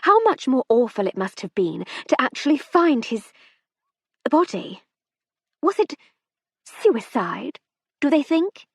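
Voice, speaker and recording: A woman's voice: sits high, sing-song, slow, vocal fry, long pauses, a little mellow, husky, no echo, voice pushed up, exaggerated voice. A woman doing a gushing, imperious society wife. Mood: gushing, sharp. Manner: gushing, then icy and imperious. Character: shrill, sharp-tongued society wife in her fifties.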